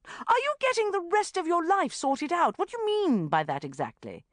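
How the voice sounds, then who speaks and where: A woman's voice: very high-pitched, wildly swooping pitch, normal speed, dry recording, voice pushed up, heavily acted. A woman voicing a combative, sarcastic, outspoken female friend who growls indignantly, as an audiobook character.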